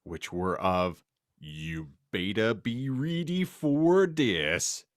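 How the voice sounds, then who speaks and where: Deep-voiced man reading aloud theatrically. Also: animated, very slow, dry recording, clean studio sound. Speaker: podcast host. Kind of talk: comedic dramatic reading of fan fiction with banter.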